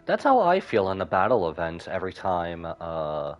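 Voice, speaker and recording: A man's voice: low-pitched, huge pitch swings, normal speed. A man giving casual live commentary as he streams a game. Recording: dull audio over game music. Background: game music.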